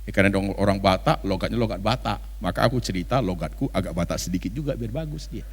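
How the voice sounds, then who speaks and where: A man's voice: fairly low pitch, quick pace. A man with an Indonesian accent preaching as a pastor, telling stories dramatically in colloquial speech sprinkled with English phrases. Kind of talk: animated storytelling sermon acting out dialogue. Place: large church function hall. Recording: reverberant hall sound.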